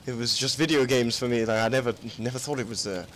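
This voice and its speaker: A man's voice: medium pitch, quick pace, fairly bright tone, slight background noise, close to an everyday voice. A man playing a mild, polite documentary filmmaker in a game roleplay, hesitant and stammering. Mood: hesitant, uneasy. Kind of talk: improvised video game roleplay.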